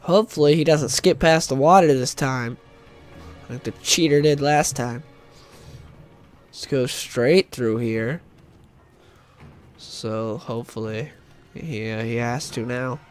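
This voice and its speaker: A young man with a stuffed-up nose, chatting casually and rambling excitedly while he plays a game. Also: medium pitch, takes it slowly, pauses often, soft background music. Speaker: gaming YouTuber. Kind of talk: casual gameplay commentary.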